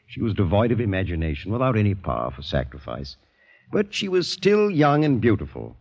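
In a dull old radio recording, a worldly, composed older man with a husky voice. His theatrical delivery is deliberate and probing, rising and falling widely as he insinuates. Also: on the low side. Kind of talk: 1940s radio drama acting.

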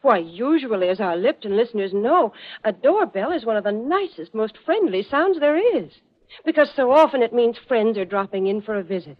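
A woman's voice: on the high side, lively intonation, moderate pace. A woman doing scripted 1940s radio commercials in a genteel, chatty, homey manner. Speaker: radio sponsor spokeswoman. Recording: muffled vintage radio broadcast.

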